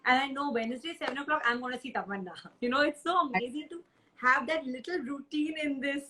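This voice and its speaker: A woman's voice: sits high, quick pace, pushed and bright. A woman hosting a live stream, chatting casually and effusively over a roomy, hissy call connection.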